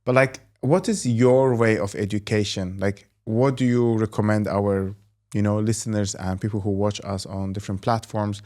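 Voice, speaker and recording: A man's voice: on the low side, fairly even intonation, moderate pace, a little mellow. A man hosting an interview, asking long, rambling questions full of "you know" and "like". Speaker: host and company executive. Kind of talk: interview host.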